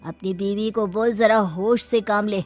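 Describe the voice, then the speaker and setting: A woman's voice: medium pitch, steady tone, measured pace, darkish tone, soft background music, close to an everyday voice. Woman voicing a stern, domineering male in-law. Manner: scolding, commanding. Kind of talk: Hindi moral story character voice.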